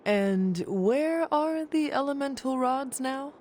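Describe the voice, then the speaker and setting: A woman's voice: high voice, lively intonation, slow, a little mellow, slight background noise. A woman playing a guarded, skeptical young warrior in a video game, dry and blunt. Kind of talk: video game cutscene acting. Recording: music behind the voice.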